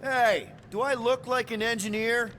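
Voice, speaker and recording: An actor playing a casual, wisecracking space adventurer in a video game, with an informal, flippant way of talking. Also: male voice, extremely high pitch, steady tone, a little slow, fairly bright tone, a little hiss or hum. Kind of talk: video game cutscene acting.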